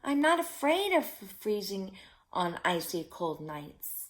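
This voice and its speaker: A woman's voice: mid-range voice, sing-song, slow. A woman voicing a small, frightened young boy turtle in a storybook, polite and plaintive as he asks and answers. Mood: firm refusal.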